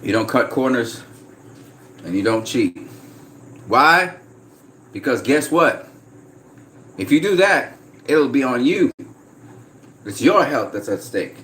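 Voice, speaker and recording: A man from Trinidad chatting casually on a livestream, reading out viewer comments in a relaxed way. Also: medium pitch, slow, long pauses. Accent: slight American-sounding Trinidadian. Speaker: vlogger.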